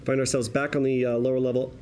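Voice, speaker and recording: Dark-toned man narrating a screenplay. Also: medium pitch, level tone, fast, a little hiss or hum. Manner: wry, colloquial storytelling. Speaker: narrator reading stage directions. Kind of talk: scripted screenplay narration, present tense.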